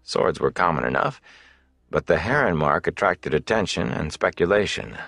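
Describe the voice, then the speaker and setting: A man narrating a fantasy audiobook, telling the story in a measured, deliberate, dramatic way. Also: sits low, animated, gravelly, fairly bright tone, no room sound.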